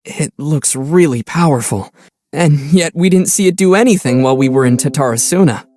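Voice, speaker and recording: A man voicing a young adventurer in a video game, calm, kind and dryly witty, with a gently teasing, unhurried delivery. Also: fairly high pitch, a crowd in the background, natural voice. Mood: curious, helpful.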